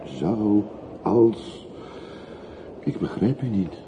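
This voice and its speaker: An actor playing an earnest railway porter in a radio play, formal and deferential yet full of probing questions. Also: male voice, on the low side, very animated, extremely slow, mellow, full character voice. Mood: urging. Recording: muffled phone-like old radio recording, faint hiss.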